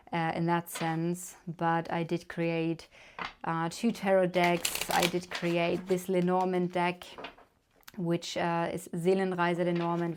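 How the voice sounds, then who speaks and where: A woman from Germany with a German accent, giving a casual tarot card reading with gentle, unhurried talk and many fillers. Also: on the low side, background noise. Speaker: tarot reader.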